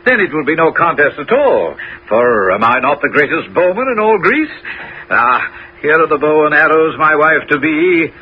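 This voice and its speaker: An actor playing a boastful, arrogant suitor in a 1970s radio drama, blustering and mocking. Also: male voice, a little high, sing-song, normal speed, slight background noise. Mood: swaggering. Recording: dull 1970s radio broadcast.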